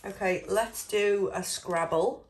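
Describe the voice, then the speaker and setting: A woman's voice: mid-range voice, takes it slowly. A woman with a head cold, chatting casually as she scratches lottery cards and reads out numbers and letters. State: congested with a cold. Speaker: scratch card vlogger. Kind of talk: casual narration over scratch card video.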